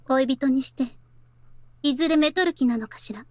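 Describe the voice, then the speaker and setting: An actress playing a cold, restrained young woman in a visual novel, questioning quietly without raising her voice. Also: sits high, quick pace, no room sound, close to an everyday voice. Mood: cool, probing. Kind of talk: Japanese visual novel voice acting. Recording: game music behind.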